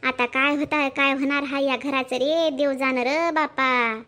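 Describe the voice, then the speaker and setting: A woman's voice: high voice, steady tone, sharp tone. Woman playing a nagging rural mother. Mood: grumbling. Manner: scolding, sarcastic, rapid village talk. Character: nagging rural mother, scolding her grown daughter.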